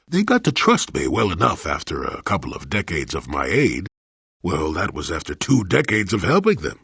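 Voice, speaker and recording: Crusty elderly ex-Jedi hermit for a game; husky, animated, wry rambler, recorded close and dry. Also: male voice, deep voice, no echo, exaggerated voice. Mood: grumbling. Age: older. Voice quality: gravelly, husky. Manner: wry, grumbling, rambling storyteller. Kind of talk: video game character dialogue.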